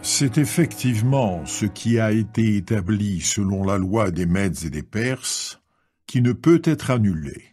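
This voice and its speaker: A man's voice: fairly low pitch, expressive, unhurried, darkish tone, slightly rough. A man playing a grave, formal king confirming his law in a dramatised scripture reading. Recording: background music.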